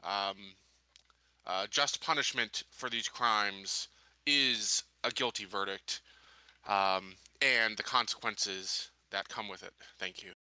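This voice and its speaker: A man playing a tabletop game, improvising in character with hesitant, measured phrasing. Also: on the low side, sharp tone, smooth, no echo. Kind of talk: improvised in-character speech and casual chat.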